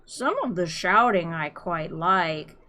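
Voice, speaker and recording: A woman putting on a big, dull-witted young alien guard. He drags his words out slowly, as if every thought takes effort. The voice is low-key and plodding. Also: pushed and bright. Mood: sluggish. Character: dim, hulking young alien guard, male.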